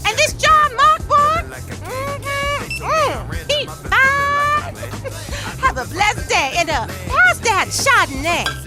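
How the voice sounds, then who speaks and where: An actress playing a sassy, flirtatious American neighborhood woman with a drawn-out, exclamatory delivery in an audio drama. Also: extremely high pitch, very animated, exaggerated voice. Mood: flirty, sassy. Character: sassy, flirtatious churchy neighborhood woman spreading word of march. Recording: loud music behind.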